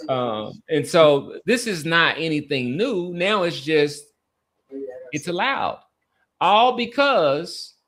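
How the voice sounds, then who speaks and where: A middle-aged American man hosting a casual sports discussion, speaking haltingly and repeating words for emphasis. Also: medium pitch, a bit bright. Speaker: sports podcast host.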